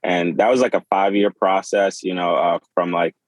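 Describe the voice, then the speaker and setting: A man in his twenties, born in Sudan and raised in Kenya, speaking as a podcast guest in a halting, casual way with many fillers and restarts. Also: deep voice, steady tone, dry recording. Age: 20s. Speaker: podcast guest, clothing designer. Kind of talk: casual interview.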